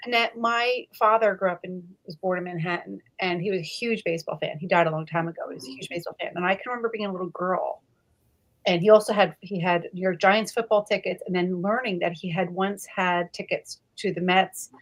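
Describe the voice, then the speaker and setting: A woman's voice: fairly low pitch, steady tone, fairly quick, poor audio. Woman chatting casually about books. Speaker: book club host. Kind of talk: casual book chat. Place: live online video chat.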